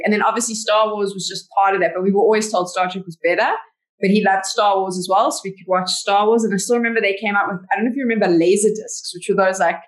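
A South African woman talks as a podcast guest, telling long stories in a loose, chatty way. She fills her sentences with "like" and keeps a mid pitch. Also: low-quality recording. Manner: chatty storyteller, many "like" fillers. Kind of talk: casual interview chat.

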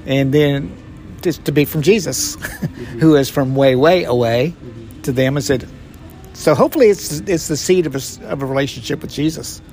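A man's voice: fairly high pitch, normal speed, darkish tone, soft background music. A man in his sixties, a grandfather and mission trip volunteer, answering interview questions in a reflective, heartfelt way. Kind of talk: podcast interview.

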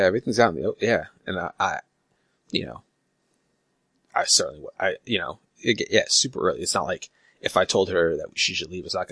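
A man co-hosting a podcast in casual chat, speaking haltingly with repeats and trailing off. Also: deep voice, gravelly, fairly bright tone, breathy edge, no room sound. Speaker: podcast co-host.

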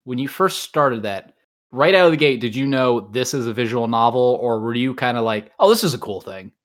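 A man's voice: mid-range voice, expressive, brisk. A man hosting a podcast, chatting casually and informally as he interviews a guest, often thinking aloud. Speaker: podcast host.

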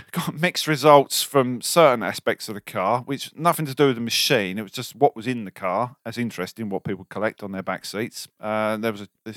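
Tired bloke rambling on a podcast after a night shift, lots of ums, dry close sound. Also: male voice, lowish voice, normal speed, a bit bright, no room sound. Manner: rambling, jokey, many ums. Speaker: podcast co-host. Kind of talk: casual chat.

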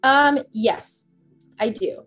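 A woman's voice: on the high side, drawn-out words, fairly bright tone, faint music behind. A woman in her twenties, a podcast guest in casual chat, rambling and chatty with lots of "like" fillers. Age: 20s. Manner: chatty, rambling, heavy use of "like".

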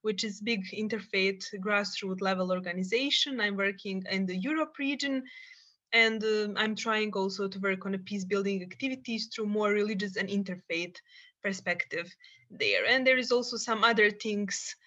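A woman's voice: medium pitch, normal speed, pushed and bright. Woman, slightly muffled audio. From Bosnia and Herzegovina. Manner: thoughtful, searching for words, frequent restarts. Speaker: guest, psychologist and peace builder. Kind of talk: podcast interview, remote. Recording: dull lo-fi sound.

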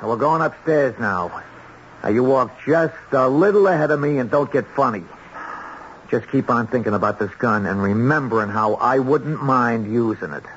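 A man's voice: mid-range voice, moderate pace, close to an everyday voice. Male actor as menacing American small-time gunman, slangy taunts, sarcastic orders, muffled hissy vintage radio drama. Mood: threatening. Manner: colloquial, taunting, bossy. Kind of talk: old-time radio mystery acting. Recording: dull old radio recording, faint hiss.

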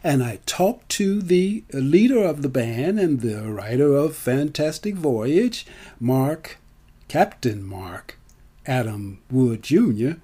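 A man's voice: medium pitch, lively intonation, slow pace, mellow, slightly rough. A middle-aged man talking casually to an audience as a storyteller, with a theatrical, emphatic delivery. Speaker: storyteller and filmmaker. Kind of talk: casual spoken monologue to an audience.

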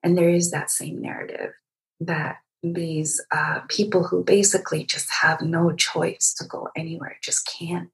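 A woman from Egypt conducting a literary interview, speaking reflectively and feeling her way through halting phrases. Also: sits low, rough recording.

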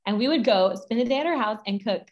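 A woman's voice: on the high side, fast, lo-fi sound. Bubbly woman who laughs a lot. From Guatemala and Mexico. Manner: bubbly, laughs often, rambling asides. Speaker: podcast guest. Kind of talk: casual interview chat.

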